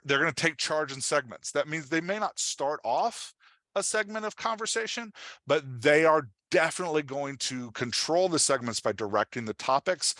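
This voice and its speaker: A man's voice: mid-range voice, bright, forceful tone, no echo. A man giving an informal workshop as a writing coach, explaining with examples and often checking in with the listener. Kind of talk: informal instructional presentation.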